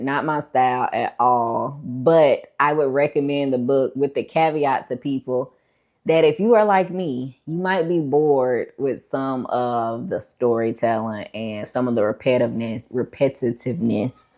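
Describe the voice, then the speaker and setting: A woman's voice: a bass voice, darkish tone. A woman talking casually as a book review vlogger, conversational and emphatic, repeating herself to make a point.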